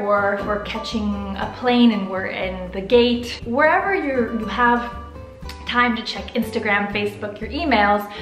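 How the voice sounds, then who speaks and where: A young American woman vlogging to camera from her living room, upbeat, expressive and chatty. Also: mid-range voice, echoey room. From United States. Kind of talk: casual to-camera vlog. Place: living room with sofa, indoors.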